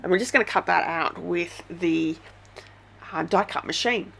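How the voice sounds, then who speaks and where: Australian woman, stuffy head cold, slightly low voice, chatty craft tutor. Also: moderate pace, vocal fry, a bit bright. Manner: chatty, gushing, many ums. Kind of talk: casual step-by-step craft tutorial.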